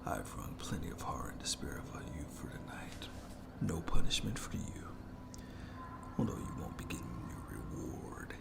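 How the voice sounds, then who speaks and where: A performer voicing a sadistic, gloating monster in a creaky whisper for horror ASMR, with slow, theatrical, mockingly polite menace. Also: extremely low pitch, big pitch swings, heavily acted. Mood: mocking, menacing. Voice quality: breathy, heavily creaky whispery voice. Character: gloating sadistic monster jailer lurking under the bed. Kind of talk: scripted horror ASMR roleplay.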